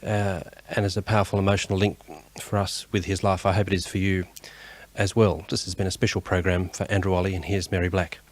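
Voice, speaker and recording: An Australian man presents a radio tribute programme in a fairly low voice. His delivery is informal and measured, that of a host moving between guests and introductions. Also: flat delivery.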